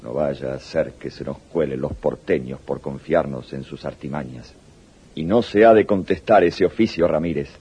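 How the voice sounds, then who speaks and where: An actor playing a suspicious, scornful supreme dictator in a radio drama, giving orders in a deliberate, imperious way. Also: male voice, extremely low pitch, mellow, husky, heavily acted. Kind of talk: 1980s radio drama acting. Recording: muffled old radio broadcast tape.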